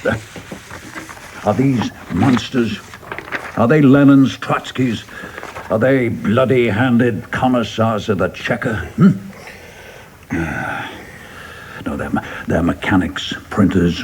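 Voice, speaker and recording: An actor in a radio play playing a seasoned, humane government official, questioning deliberately with gentle scorn and pauses. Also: male voice, on the low side, a little hiss or hum. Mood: indignant, probing.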